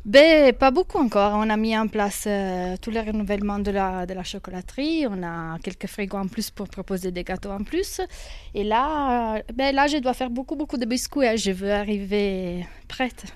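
A woman's voice: medium pitch, lively intonation, moderate pace, clean tone, dry recording. A woman from Italy with an Italian accent, a shop owner answering interview questions cheerfully and laughing often.

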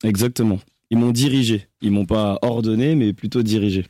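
A young man from France, a singer, answering interview questions in a hesitant, halting way with many restarts. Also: fairly low pitch, fairly even intonation, mellow, dry recording, clean studio sound.

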